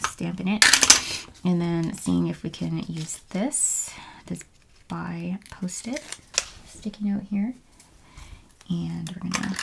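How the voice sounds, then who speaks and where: A woman's voice: on the low side, vocal fry, heavy background noise. A woman chatting casually as she crafts at home, musing to herself and often trailing off into murmurs. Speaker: planner video host. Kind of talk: casual crafting chat, narrating as she works. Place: at home, indoors.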